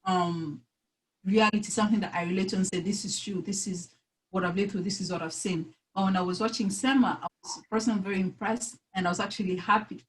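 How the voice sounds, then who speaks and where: A woman's voice: medium pitch, fairly even intonation, fast, rough recording. A woman in her early twenties from the Democratic Republic of the Congo, a native French speaker, giving a personal account as a panelist in a hesitant, self-correcting way. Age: early 20s. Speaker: panelist, university student.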